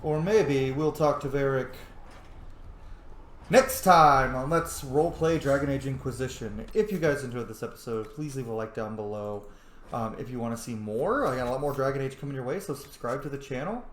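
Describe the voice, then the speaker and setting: A man's voice: medium pitch, normal speed, roomy sound, slight background noise. A man giving casual, chatty gaming commentary as a let's play host, reacting aloud as he plays.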